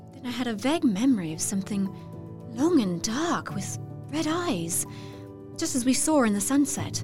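A woman playing a delicate young Victorian lady in a gothic audio drama, speaking in a wistful, half-dreaming way. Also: high-pitched.

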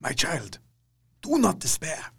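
An actor playing an elderly professor and vampire hunter, grave and steadfast, speaking in broken, formal English with a husky, gravelly voice. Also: male voice, on the high side, wildly swooping pitch, slow, pushed and bright, heavily acted. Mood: comforting. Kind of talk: audio drama acting.

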